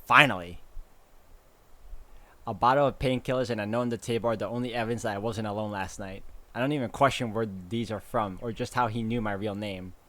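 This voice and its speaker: A man hosting a game playthrough, reading visual novel narration aloud in an informal, expressive way with casual asides and the odd stumble. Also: on the low side, fairly quick. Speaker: gaming video host.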